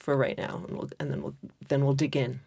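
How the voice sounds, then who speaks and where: Podcast host, casual, rambling, many fillers, mid pitch, dry close mic. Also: flat delivery, a little fast, gravelly, no room sound. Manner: rambling, many fillers and restarts, warmly effusive. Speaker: podcast host and university teacher. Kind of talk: casual interview chat.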